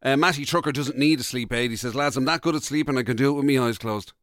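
A man's voice: mid-range voice, fast, a bit bright, no room sound, studio quality. An Irish man co-hosting a radio show, chatting casually and colloquially and reading out listener messages. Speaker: radio breakfast show co-host. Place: radio studio, dry close sound.

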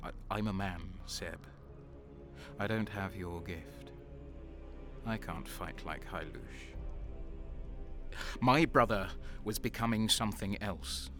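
A man's voice: on the low side, slow, long pauses, over music. A man playing a bitter traitor guardsman who narrates his own story in a grim, hard voice in a fan audio drama. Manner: grim inner narration, heated in arguments. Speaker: narrator and lead character.

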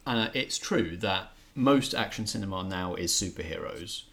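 Male arts show co-host, lowish pitch, conversational, thinks aloud haltingly. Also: expressive, fairly bright tone. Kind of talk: casual film discussion.

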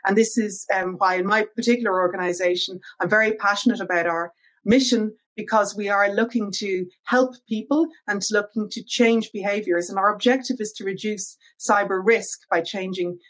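A woman with an Irish accent, a podcast guest giving articulate, measured explanations. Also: mid-range voice, steady tone. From Ireland. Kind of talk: interview answers.

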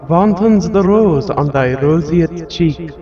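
A man's voice: fairly high pitch, takes it slowly, lo-fi sound, crowd noise. A man playing a stately reciter who declaims ornate couplets in an audio drama, grand and drawn out. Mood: grand, lyrical.

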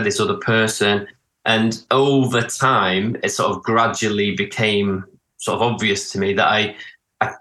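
A man from northeast England with a northern English accent, talking as a podcast guest in a low, bright, forward voice. He talks in a halting, thoughtful way and often restarts his sentences. The room around him sounds echoey. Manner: hesitant, many restarts and "sort of". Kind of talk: casual interview talk.

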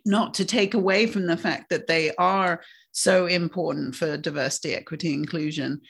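Reflective podcast host with a gravelly voice. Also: medium pitch, fairly even intonation, moderate pace, a bit bright. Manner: thoughtful, reflective, long-winded questions. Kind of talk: podcast interview questions.

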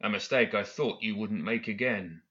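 A man's voice: fairly low pitch, moderate pace, fairly bright tone, dull audio, close to an everyday voice. A man voicing a stern, frank and defiant man in an audiobook, terse and guarded in delivery. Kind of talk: audiobook character acting.

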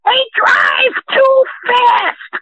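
Brief: shrill, bright, shouty wannabe superhero, boastful and trigger-happy, close dry sound. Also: steady tone, drawn-out words, no room sound, dull audio, full character voice. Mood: aggressive. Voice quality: high, shouting, whiny near-crying delivery. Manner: boastful, aggressive, rambling. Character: trigger-happy self-styled vigilante superhero caller. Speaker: caller.

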